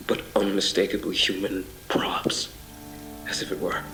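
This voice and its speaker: An actor playing a haunted man recounting a surreal ordeal in a brooding, literary horror audio drama monologue, full of pauses. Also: low-pitched, fairly bright tone, exaggerated voice. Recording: reverberant voice over music.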